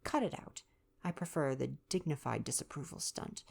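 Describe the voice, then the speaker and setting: A woman's voice: rumbling low, lively intonation, moderate pace, creaky, darkish tone, breathy edge, heavily acted. A woman voicing a cheeky, slangy young American-born actress and acrobat in a read-aloud novel. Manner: slangy, brash, playful.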